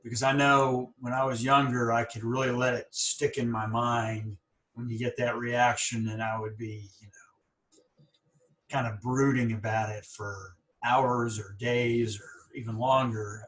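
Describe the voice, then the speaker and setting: A man who stutters, speaking at a middle pitch as he hosts a conversation, thoughtful and encouraging. His voice sits back from the mic and rings around a roomy space. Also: flat delivery, a little slow, a bit bright. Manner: stutters, with restarts and repeated words. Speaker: podcast host. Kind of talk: conversational interview. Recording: roomy, a bit far from the mic.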